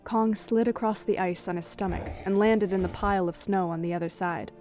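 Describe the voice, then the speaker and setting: A woman's voice: mid-range voice, fairly even intonation, fairly quick, darkish tone. A woman reading scripted third-person narration for an audio drama as its storyteller. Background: music.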